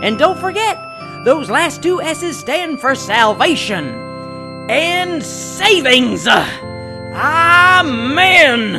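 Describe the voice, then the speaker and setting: A woman's voice: expressive, slow, creaky, over music, heavily acted. A woman voicing an earnest church passion-play actor selling tickets in a parody radio advertisement. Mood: earnest, salesy. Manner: earnest salesy pitch.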